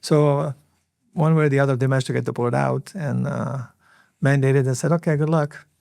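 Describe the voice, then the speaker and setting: Gravelly man in his fifties. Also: medium pitch, fairly even intonation, a little mellow, dry recording, clean studio sound. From Lebanon. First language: Arabic. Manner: earnest, reflective, many filler pauses. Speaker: hospital CEO, podcast guest. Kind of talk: interview storytelling.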